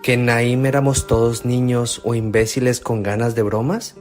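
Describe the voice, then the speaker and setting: A man's voice: mid-range voice, level tone, moderate pace, a little mellow, soft background music, natural voice. An actor playing an earnest young man raised from the dead in a devotional radio drama, speaking emphatically. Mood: indignant.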